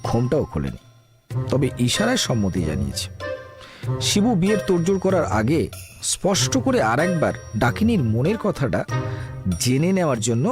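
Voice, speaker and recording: A man's voice: mid-range voice, huge pitch swings, normal speed, long pauses, over music. A man acting as the storyteller of a comic ghost tale, in scripted dramatic narration. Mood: animated.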